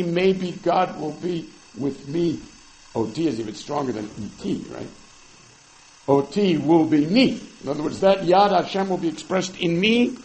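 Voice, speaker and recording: A man's voice: sits high, rough recording. A man teaching a Bible text, reading Hebrew verses aloud and then explaining them with emphatic, dramatic swings in delivery. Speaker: Torah teacher. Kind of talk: text-based Bible lecture.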